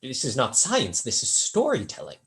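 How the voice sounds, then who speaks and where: A man's voice: medium pitch, huge pitch swings, gravelly, fairly bright tone, full character voice. Man acting wry, melancholy Regency tutor. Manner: measured, formal, wry. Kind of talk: staged play reading.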